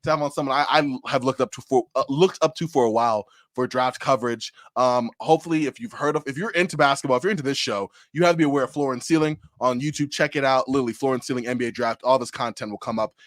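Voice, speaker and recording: A man hosting a basketball podcast, hyped and energetic, in casual chat with a guest. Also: medium pitch, dry recording, clean studio sound. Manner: hyped, energetic, talks fast with restarts. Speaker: podcast host.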